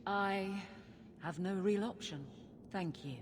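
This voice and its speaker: A woman's voice: medium pitch, takes it slowly, lightly acted. Woman playing a proud, stern warrior woman. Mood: proud, reluctant. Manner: firm, formal. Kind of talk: video game drama acting.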